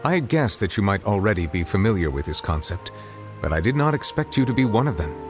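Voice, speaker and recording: An actor playing a solemn, courteous judge in a video game, speaking formally and with measured reserve. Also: male voice, fairly low pitch, sing-song. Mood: pensive. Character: solemn, courteous male judge of lofty bearing. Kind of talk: video game character dialogue. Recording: game music behind voice.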